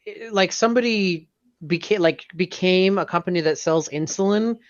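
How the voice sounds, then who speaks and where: A man's voice: high voice, normal speed, highs cut off. A man co-hosting a podcast, chatting casually and bantering with friends. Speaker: podcast co-host.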